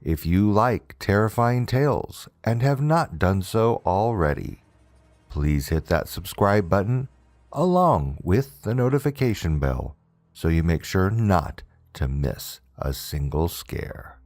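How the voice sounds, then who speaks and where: A man with a fairly low voice reads a scripted horror story. He takes it very slowly, with a deliberate, dramatic delivery and wide swings in pitch. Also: big pitch swings, darkish tone. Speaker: host and narrator of a scary-story channel.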